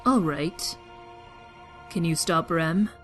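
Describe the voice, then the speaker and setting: A woman's voice: fairly low pitch, takes it slowly, faint music behind. A woman playing a blunt, wary young soldier with a curt manner in a sci-fi drama.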